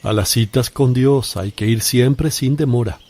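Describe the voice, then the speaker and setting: An actor playing a calm, gentle but authoritative male teacher with measured, solemn delivery in a religious radio drama. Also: mid-range voice, natural voice. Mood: gently firm. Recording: faint outdoor birdsong and ambience.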